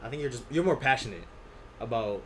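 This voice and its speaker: A young man with a fairly deep voice, co-hosting a podcast in a laid-back way with quick bursts of speech. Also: lively intonation, slight background noise, poor audio. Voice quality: deeper voice than his co-host. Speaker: podcast co-host. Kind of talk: casual chat.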